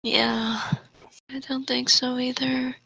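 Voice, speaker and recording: A woman co-hosting a comedic read-aloud, halting over the text and adding exasperated asides. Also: high voice, level tone, vocal fry, pushed and bright, slightly rough. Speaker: co-host reading fan fiction aloud.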